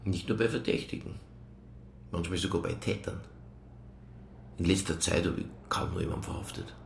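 An actor playing a fat, hard-drinking, weary police inspector in a radio crime drama, dry and blunt. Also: male voice, deep voice, steady tone, moderate pace, vocal fry, slight background noise. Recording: roomy radio play studio sound.

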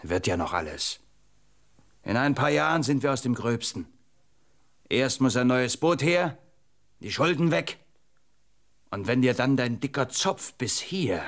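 An actor playing a proud, impatient young fisherman husband in a radio play, brusque and dismissive in manner. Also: medium pitch. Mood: gruffly soothing. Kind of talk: German radio play acting.

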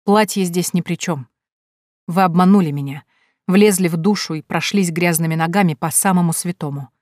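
A woman's voice: fairly low pitch, brisk, a little mellow, no echo, close to an everyday voice. A woman voicing an aging male count who speaks in a cold, clipped, commanding way. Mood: icy anger. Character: stern aging male count, icy and wrathful. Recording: dry close recording.

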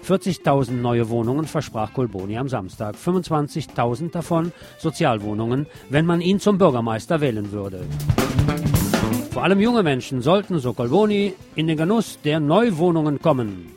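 A man's voice: fairly high pitch, sing-song, normal speed. A man presenting scripted local news with opinionated commentary, in the role of a radio show host. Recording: music playing behind the voice.

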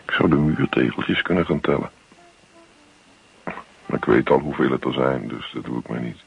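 An actor playing a grumpy, easily exasperated husband in a radio play, grumbling with sarcasm and indignation. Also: male voice, extremely low pitch, normal speed, gravelly, exaggerated voice. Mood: bored grumbling. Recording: muffled, dull radio play recording.

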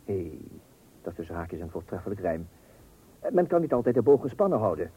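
A man's voice: deep voice, sing-song, brisk. An actor playing a pompous, thrifty father who makes speeches in an old radio comedy, with a Netherlands Dutch accent. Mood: pompous, self-satisfied. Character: pompous, thrifty, self-important husband and father. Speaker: actor playing the narrator of a family comedy serial. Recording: muffled old radio recording.

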